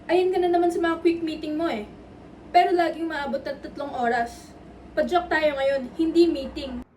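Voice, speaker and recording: A woman's voice: sits high, fairly even intonation, normal speed, darkish tone, natural voice. A Filipino woman playing a curt, sarcastic math teacher in her fifties in an amateur dramatic reading. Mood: curt, grumbling. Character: grumbling, sharp-tongued 53-year-old female math teacher. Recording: distant mic, faint background noise.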